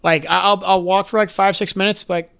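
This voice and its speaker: A young man, a comedian guest on a podcast, telling stories in casual chat and slipping into quick quoted voices. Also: sits high, creaky, smooth. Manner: animated storyteller who slips into quick quoted voices.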